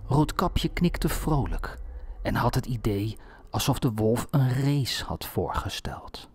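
Male storyteller, unhurried pace, mid-pitched, measured scripted delivery for a fairy-tale audiobook. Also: animated, a little mellow, slightly rough.

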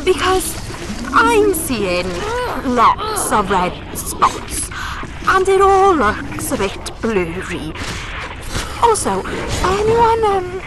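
A woman voicing an excitable, foul-mouthed, fiercely loyal young fan and chat moderator in a horror audio drama. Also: very slow, heavily acted. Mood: woozy, faint. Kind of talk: anime-style action horror audio drama. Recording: clear rumbling battle noise behind.

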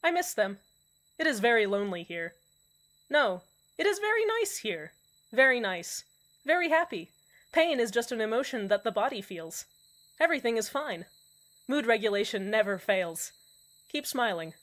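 A woman's voice: on the high side, big pitch swings, moderate pace, fairly bright tone. A woman playing a lonely radio host in an audio drama who insists that everything is fine. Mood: forced cheer. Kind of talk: scripted fan audio drama, radio monologue.

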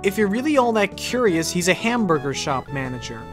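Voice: mid-range voice, sing-song, a little fast, music in the background, pitched above the performer's own voice, close to an everyday voice. A performer voicing a wry, confident, sarcastic young boy hero in a video game dub.

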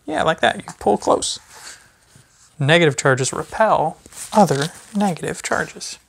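A man in his thirties, a teacher, reading a children's book aloud with gentle, chatty asides to a baby. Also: a little high, lively intonation, unhurried, creaky, slight background noise. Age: 30s. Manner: gentle parent talking to a baby. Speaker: physics teacher. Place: small carpeted or wood-floored room at home, indoors. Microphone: phone camera a few feet away.

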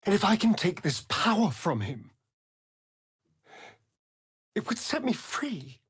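Sly, ancient male vampire for a game: theatrical, flirtatious, slow drawl, very animated pitch, dry close recording. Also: husky, no room sound, heavily acted. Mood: subdued, vulnerable. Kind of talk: video game character acting.

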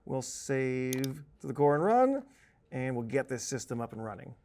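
A man's voice: medium pitch, sing-song, normal speed, darkish tone. A man in his thirties giving an informal, conversational video tutorial as a training instructor, explaining things on a lapel mic in a studio. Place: indoor presentation studio at a desk.

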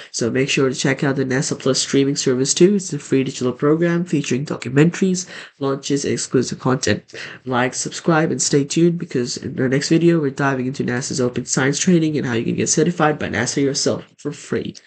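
A man's voice: mid-range voice, vocal fry. A man hosting a tech channel, narrating a scripted screen tour of a website.

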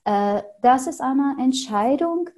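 Syrian woman, mellow and hesitant. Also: high voice, expressive, slow. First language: Arabic. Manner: thoughtful, hesitant with frequent fillers. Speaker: podcast guest. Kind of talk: casual interview answers. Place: small home room with paintings on wall.

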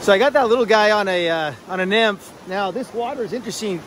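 A man's voice: high voice, fast, background noise. A man filming himself fly fishing outdoors by a river, talking casually with many fillers and restarts.

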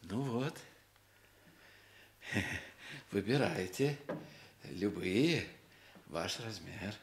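An actor playing a small, sly, ingratiating shoemaker in a radio play, unctuous and hesitant, with little chuckles. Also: male voice, on the low side, animated, very slow, heavily acted. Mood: proud, coaxing. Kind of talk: Soviet radio play acting.

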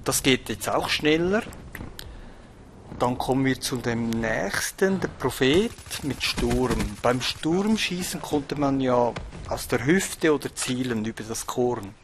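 A man's voice: mid-range voice, expressive, takes it slowly, background noise. A Swiss man with a Swiss German accent, rambling bluntly with many fillers while commenting on his own gameplay. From Switzerland. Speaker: gaming channel host.

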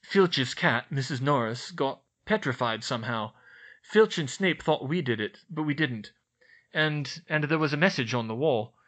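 A man's voice: mid-range voice, expressive, normal speed, fairly bright tone, natural voice. A man doing an uneasy young schoolboy. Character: anxious schoolboy wizard.

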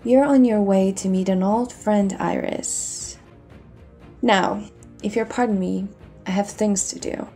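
A woman performs a vengeful villainess in a pirate audio roleplay. She is smug, cold and theatrical, taking her time in a dark, mellow voice with a gravelly creak. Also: mid-range voice, fairly even intonation, faint music behind. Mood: smug, menacing. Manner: taunting, theatrical, measured. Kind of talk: dramatic audio roleplay acting.

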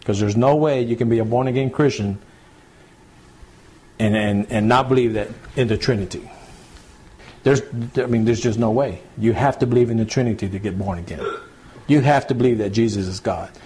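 A husky, mellow-voiced man with a drawl leads a home Bible study. He talks plainly and conversationally, circling back to repeat his points and checking in with the group. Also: mid-range voice, moderate pace. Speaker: pastor. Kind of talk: home church Bible teaching. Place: small home gathering, recorded to CD.

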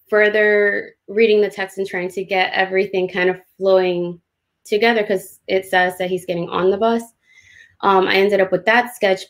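A woman illustrator talks through a drawing demo on a video call. Her voice sits at a middle pitch. She explains in an informal, self-deprecating way. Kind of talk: casual commentary on a drawing demo.

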